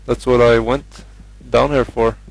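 A young man from Norway speaks English with a Norwegian accent while commentating a game. His delivery is flat and even, with little rise and fall. He hesitates often, filling gaps with ums and uhs as he chats. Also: medium pitch, a little slow, gravelly, low-quality recording. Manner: hesitant, many fillers, chatty. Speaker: gamer.

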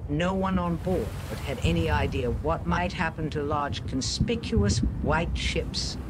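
A man's voice: on the high side, a little slow, background noise, lightly acted. A man voicing a determined, defiant female war correspondent in earnest, solemn first-person narration. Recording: voice-over under loud music.

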